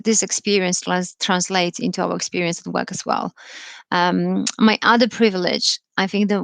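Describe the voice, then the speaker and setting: A woman's voice: on the low side, creaky, fairly bright tone, no room sound. A woman in her thirties from Poland with a Polish accent, giving an informal live talk, thoughtful and full of ums and restarts. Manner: thoughtful, many fillers and restarts. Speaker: career speaker.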